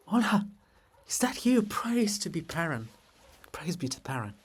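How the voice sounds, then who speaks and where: A man puts on a proud pagan woman of ancient times for a stage drama. The pitch is lifted and the delivery loud and exclamatory, full of grumbling indignation and quick temper. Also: big pitch swings, slightly rough, exaggerated voice. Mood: excited, breathless.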